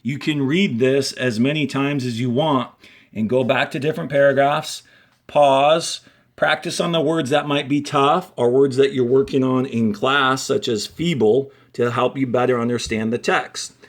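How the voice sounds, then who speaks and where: A man reading aloud and instructing as a fourth-grade teacher, with deliberate phrasing and dramatic pauses. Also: medium pitch, fairly even intonation. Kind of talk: expressive classroom read-aloud and instruction.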